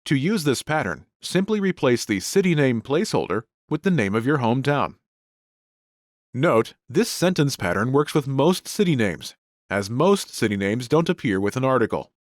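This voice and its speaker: A man narrating a scripted grammar lesson in a clear, explanatory teaching style. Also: medium pitch, sing-song, normal speed, no echo, studio quality. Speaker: language course narrator.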